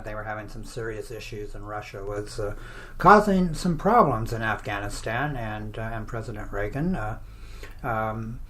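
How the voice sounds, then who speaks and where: A radio host with a mid-pitched voice talks conversationally, pausing and filling as the pitch of a promo unfolds. There is clear noise behind the voice. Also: a bit bright.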